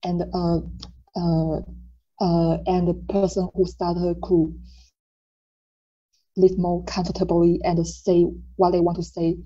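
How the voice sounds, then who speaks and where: Young woman from Taiwan, slow, halting, very mellow and husky voice, muffled audio; personal testimony. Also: fairly low pitch, level tone. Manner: slow, halting, many filler sounds.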